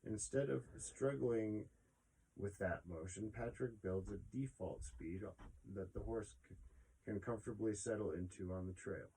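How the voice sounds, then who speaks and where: A man's voice: on the low side, measured pace, vocal fry, warm tone, slight background noise, low-quality recording. A man hosting a podcast, reading an article aloud with casual asides and stumbling a little over words.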